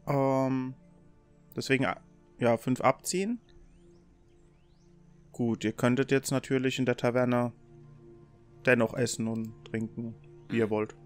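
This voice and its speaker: A man's voice: medium pitch, fairly even intonation, a little slow, long pauses. A man running a tabletop role-playing game as game master, explaining the scene in casual, unscripted talk. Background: faint music.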